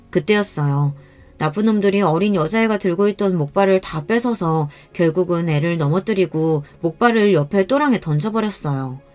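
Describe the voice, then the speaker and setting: Woman narrator, calm, even, first-person story, soft background music. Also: lowish voice.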